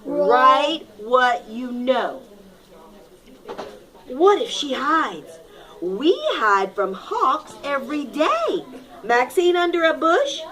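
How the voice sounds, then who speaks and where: A woman reads a story to a class as a teacher would, in a high voice that swings widely. She takes her time and stretches key words for dramatic effect. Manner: expressive, dramatic, with drawn-out emphasis and call-and-repeat prompts. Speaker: primary school teacher. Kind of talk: animated read-aloud of a picture book to a class. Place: classroom with children.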